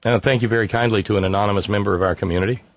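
A man's voice: fairly low pitch, steady tone, very fast, dry recording. A man hosting a live stream, talking in a rambling, wry way with many fillers and restarts while he reads out viewer comments. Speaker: livestream host reading chat. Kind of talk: casual unscripted monologue.

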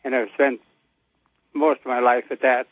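A ninety-year-old American man chats as a caller in a folksy, plain-spoken manner. His mid-pitched voice comes through dull, lo-fi call audio with little room sound. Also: little pitch movement, moderate pace, dry recording. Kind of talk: casual phone-in chat. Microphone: telephone.

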